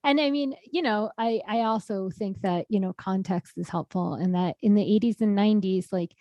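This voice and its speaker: A woman in her thirties co-hosting a podcast in casual chat, animated and quick to laugh. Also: medium pitch, dry recording, lo-fi sound. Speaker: podcast co-host.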